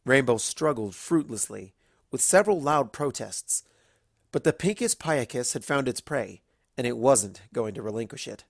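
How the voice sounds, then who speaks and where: A male narrator reads a story from a script. His voice is middling in pitch and very dry, with little room sound. The delivery is that of storytelling. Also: lively intonation, moderate pace, creaky, no room sound. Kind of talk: scripted storytelling, fan fiction reading.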